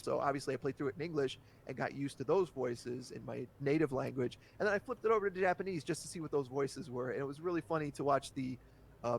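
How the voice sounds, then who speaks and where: A man's voice: mid-range voice, gravelly. A man guesting on a podcast, talking candidly in casual chat and breaking into laughter easily.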